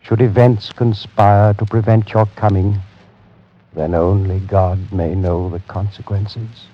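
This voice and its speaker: Sickly desperate nobleman, very slow formal pleading, dull old radio sound. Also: lowish voice, steady tone. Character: ailing, tormented aristocrat reading out his desperate letter. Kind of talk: 1940s radio drama acting. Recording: muffled old radio recording, faint music.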